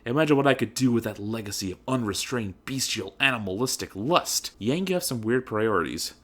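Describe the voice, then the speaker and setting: Guy playing a cocky vain young woman, dramatic and emphatic, pitch all over, funny story reading. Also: lively intonation, fairly quick, fairly bright tone. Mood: envious musing. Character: brash, vain young woman, self-satisfied and easily rattled.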